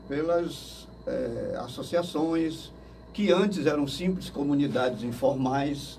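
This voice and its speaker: An older man from Manaus, answering interview questions slowly and hesitantly, with many fillers and restarts. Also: medium pitch, a little mellow. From Manaus, Brazil. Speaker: interview guest. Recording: distant mic with faint noise.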